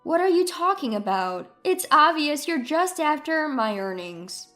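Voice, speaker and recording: A woman's voice: sits high, sing-song, unhurried, fairly bright tone, faint music behind, exaggerated voice. A woman playing a scornful, newly independent ex-wife in a story, mocking and cutting. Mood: indignant. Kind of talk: dramatized storytelling dialogue.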